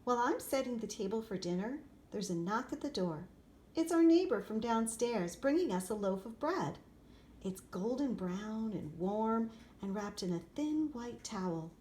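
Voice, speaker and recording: A woman reads a picture book aloud for children. Her voice sits at a medium pitch, and she delivers the story expressively in deliberate, separated phrases. There is clear ambient noise behind her. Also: warm tone. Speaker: storybook reader.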